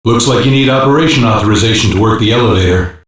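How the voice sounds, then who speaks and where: A man's voice: lowish voice, fast, close to an everyday voice. A man playing a practical cyber-police hacker who gives instructive, matter-of-fact mission support in a sci-fi game. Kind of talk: English animated sci-fi game voice acting. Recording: very echoey, distant-sounding game audio.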